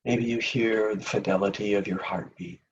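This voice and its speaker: A man leading a guided meditation in a gentle, soothing, unhurried manner with long pauses between phrases. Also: fairly low pitch, fairly even intonation, distant mic, muffled recording, a little hiss or hum.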